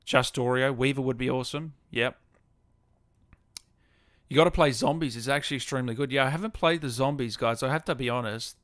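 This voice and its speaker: A man's voice: medium pitch, brisk, a bit bright, no room sound, clean studio sound. A man hosting a live gaming stream, chatting casually and answering viewers in an informal, matey way. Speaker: live stream host and gaming content creator. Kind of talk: casual chat, reading and answering viewer comments.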